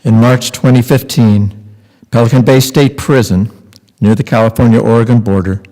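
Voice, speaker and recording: A man's voice: medium pitch, warm tone. An older man giving a scripted public reading as part of a readers theater. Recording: echoey hall reverb.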